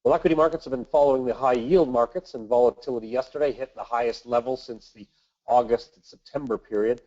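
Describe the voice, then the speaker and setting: A man with a nasal voice from a cold, hosting a weekly investment webinar and reading market commentary in a measured way with the odd filler. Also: mid-range voice, a little mellow, dull audio. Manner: measured, reads from notes with filler uhs. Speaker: webinar host, investment manager.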